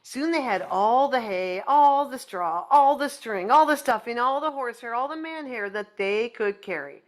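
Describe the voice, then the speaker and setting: A woman's voice: on the high side, animated, normal speed. A woman reading a children's picture book aloud, playfully chatting to young listeners in little asides. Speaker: storybook reader.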